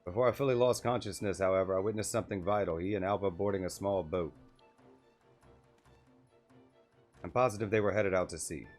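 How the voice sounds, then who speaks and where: Guy doing a chatty clueless game adventurer, low voice, flowery polite wording, music behind. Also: fast talker. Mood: earnest. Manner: wordy, flowery, mock-formal. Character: chatty, scatterbrained, polite male adventurer, comically oblivious. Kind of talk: video game dialogue read aloud.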